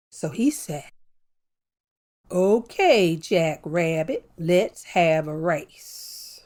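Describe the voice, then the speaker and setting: A woman hosting a children's story show, telling a folktale with a lively, playful delivery and drawn-out phrasing. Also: fairly low pitch. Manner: warm animated storyteller with slow deliberate pacing. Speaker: storyteller host. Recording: soft music bed behind.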